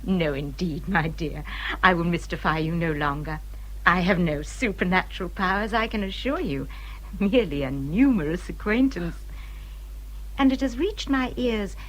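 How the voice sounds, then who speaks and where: An actress playing a genteel young English widow and invalid, knowing and teasing, in a period radio drama. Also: female voice, lowish voice, expressive, normal speed, poor audio. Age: 30s.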